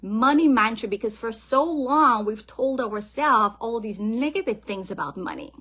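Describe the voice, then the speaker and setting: A woman with a somewhat high voice gives a motivational talk on family finance. She is a financial planner and author who speaks over a call. She talks in a lively way and keeps checking in with her listeners. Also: moderate pace, husky. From Guyana.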